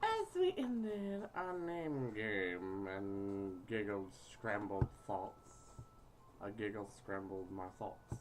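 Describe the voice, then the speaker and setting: A woman's voice: rumbling low, very animated, slow, noisy background. A foul-mouthed woman reading a game aloud with casual, crude joking and stumbles over the words. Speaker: gaming video host.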